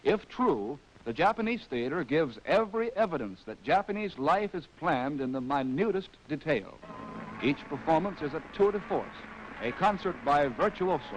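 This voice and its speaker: A man's voice: a little high, animated, normal speed, a little hiss or hum, rough recording. An American man narrating a scripted 1940s training film in a wry, arch, theatrical way, on a vintage film soundtrack.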